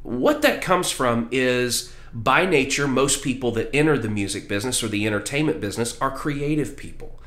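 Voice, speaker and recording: Man in his thirties, earnest explanatory vlog host, medium pitch, roomy indoor sound. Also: normal speed, few pauses, fairly bright tone. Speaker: vlog host, music business mentor. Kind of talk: motivational advice vlog to camera. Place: small room at home with posters, indoors.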